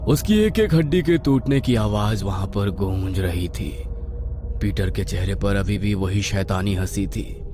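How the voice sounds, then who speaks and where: A man's voice: lowish voice, lively intonation, a little slow. A man reading a scripted horror story as a dramatic narrator. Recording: music behind the voice.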